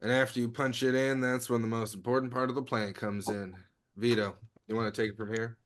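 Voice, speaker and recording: Earnest male heist planner, read by a man, average pitch, natural delivery, comedy table read. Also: little pitch movement, fairly quick, lightly acted. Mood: urgent, earnest.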